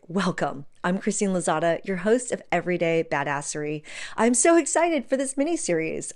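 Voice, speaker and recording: A woman's voice: mid-range voice, darkish tone. A woman hosting a podcast, talking casually to listeners and her guest in an enthusiastic, emphatic way. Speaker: podcast host.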